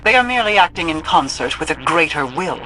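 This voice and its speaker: An actress playing a regal, cool mystic sovereign in a video game, speaking in a formal, cryptic, imperious manner. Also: female voice, deep voice, sing-song, fast, pushed and bright, heavily acted. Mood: cool, instructive. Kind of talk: video game mission dialogue.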